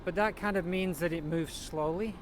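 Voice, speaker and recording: A man's voice: high voice, steady tone, moderate pace. A middle-aged man from the north-west of England with an English accent, chatting casually and diplomatically as an interviewee. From Wigan area, north-west England. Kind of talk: casual chat. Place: outdoors in a city. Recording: noisy outdoor street with traffic.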